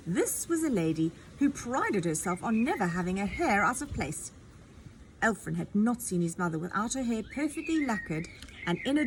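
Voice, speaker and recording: A woman teacher reads a children's novel aloud, her pitch lively and swinging through each sentence. She tells the story expressively and breaks off for chatty asides to young listeners. A faint hiss of background noise runs under her voice.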